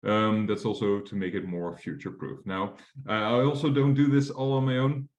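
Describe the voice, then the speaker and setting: A man's voice: fairly low pitch, fairly even intonation, normal speed, a little mellow, reverberant. A young Dutch man presenting as a mortgage advisor in a webinar, casual and full of fillers and restarts. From Netherlands.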